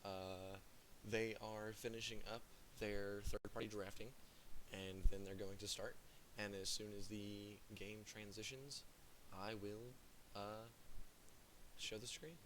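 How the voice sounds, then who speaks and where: A hoarse young man chatting as an esports caster, self-mocking and chatty before the match. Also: fairly low pitch, flat delivery, slow, a bit bright, a little hiss or hum, poor audio. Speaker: esports caster and stream operator. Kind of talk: casual pre-game esports commentary.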